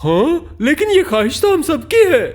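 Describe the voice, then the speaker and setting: Very high, animated cartoon critter. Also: female voice, moderate pace, soft background music. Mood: insistent. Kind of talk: dubbed cartoon acting.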